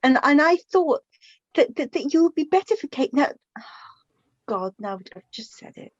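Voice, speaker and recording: Hesitant, high-voiced middle-aged mother played by an actress. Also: very animated, dry recording, dull audio. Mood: flustered, confessional. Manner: hesitant, halting, repeating words, sighing. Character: gentle, flustered middle-aged widowed mother of the bride. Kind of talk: naturalistic radio drama dialogue.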